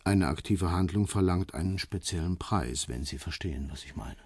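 Russian fixer, middle-aged ex-soldier, very deep, husky, curt, guarded, businesslike, radio drama. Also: male voice, moderate pace, a little mellow, dry recording, studio quality. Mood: cool, menacing.

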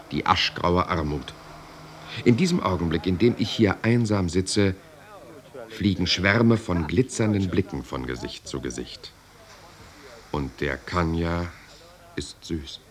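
A deep male voice tells his own story as a solitary, contemplative writer. The delivery is measured and lyrical, in the manner of a literary radio drama. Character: reflective, solitary European writer recounting his life. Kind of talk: literary first-person radio drama narration.

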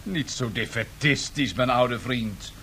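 An actor playing a bold, impatient, ambitious tycoon in a radio drama, with a brisk, commanding manner. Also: male voice, medium pitch, bright, forceful tone, a little hiss or hum. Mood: confident, scheming. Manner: brisk, commanding, self-assured.

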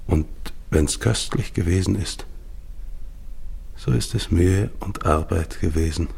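Gravelly, husky, dying polar explorer. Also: male voice, low voice, slow pace, a little mellow, full character voice. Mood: bleak, weary. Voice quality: gravelly, husky, at times whispered. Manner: solemn, incantatory, repeating phrases. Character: dying, grieving male polar expedition leader recounting his doomed march. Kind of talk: literary German radio play monologue.

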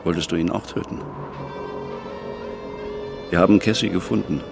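An actor playing a grave, humane police detective in a radio drama, speaking in short, clipped phrases. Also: male voice, deep voice, steady tone, moderate pace, gravelly, over music. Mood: grave, gentle.

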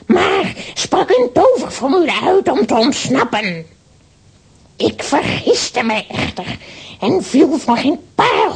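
A woman's voice: high voice, vocal fry, heavily acted. An actress playing a boastful, spiteful centuries-old witch in a children's radio play, theatrical and gloating.

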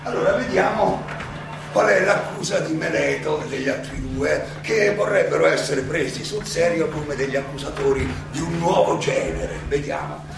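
An actor playing a defiant, ironic old philosopher defending himself at trial, in a theatrical monologue full of rhetorical questions and dramatic pauses. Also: male voice, fairly high pitch, creaky. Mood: ironic, challenging. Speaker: stage actor. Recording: echoey, distant, noisy stage recording.

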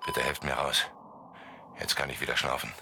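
Very deep, delirious dying gangster. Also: male voice, flat delivery, normal speed, creaky. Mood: pleading, delirious. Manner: pleading, fragmented rambling. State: feverish, delirious. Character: delirious dying gangster muttering fevered fragments. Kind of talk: radio play acting.

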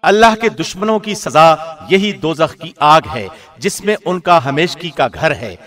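Man reading scripture translation like a radio presenter, slightly high voice, formal and solemn, careful enunciation. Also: animated, fairly bright tone, faint music behind. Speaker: translation reader, radio host.

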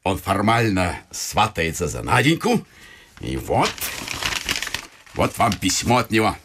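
A man's voice: low voice, big pitch swings, slow pace, noisy background, full character voice. A man playing a talkative, touchy old retired officer turned provincial clerk in a Russian radio vaudeville, theatrical and effusive, with folksy old-fashioned turns of speech. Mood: proud, excited. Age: older. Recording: stage radio play with faint music.

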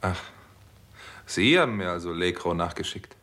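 A man's voice: sits low, expressive, normal speed, bright, forceful tone. A man acting as a doctor hero who tells a crime story in a dry, controlled way, in a 1960s German radio play. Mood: guarded, dry. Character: male doctor and hero narrating a 1950s Hollywood crime story.

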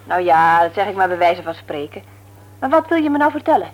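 An actress in a Dutch radio play, playing a brisk, teasing, no-nonsense nurse married to a man she likes to mock. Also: fairly low pitch, big pitch swings. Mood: teasing, curious. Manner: brisk, mocking, matter-of-fact. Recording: noisy old radio recording with hum.

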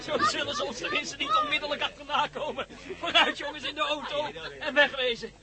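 A man's voice: extremely high pitch, sing-song, a little fast, a loud crowd. A man playing a daring adventurer at the end of his nerves in a radio drama, sounding exhausted. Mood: urgent. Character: daring male getaway man, nerves giving out. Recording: radio play, loud car noise, voices.

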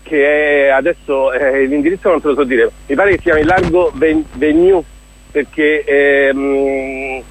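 A man's voice: fairly high pitch, fairly even intonation, measured pace, poor audio. A man speaking as an enthusiastic, effusive guest in a casual radio interview, talking as a theatre director. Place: radio broadcast.

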